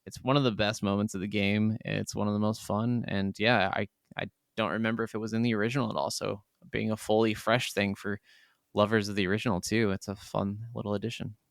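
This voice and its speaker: A man's voice: lowish voice, level tone, brisk, creaky, dry recording, clean studio sound. A man co-hosting a podcast in an enthusiastic, emphatic, casual way, swearing freely as he talks. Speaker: podcast co-host. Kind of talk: casual video game discussion.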